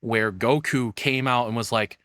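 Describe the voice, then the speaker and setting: A man's voice: medium pitch, measured pace, fairly bright tone. A man doing casual live reaction commentary as a streamer, rambling and swearing in an informal way.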